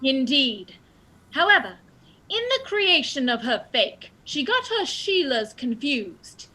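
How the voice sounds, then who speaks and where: A woman's voice: high-pitched, very animated, takes it slowly, bright, forceful tone, full character voice, poor audio. A woman acting as a self-assured, theatrical lady detective in a comic radio play, declaiming pompously. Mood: commanding, triumphant. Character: theatrical female amateur detective explaining a murder, self-assured. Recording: music behind the voice.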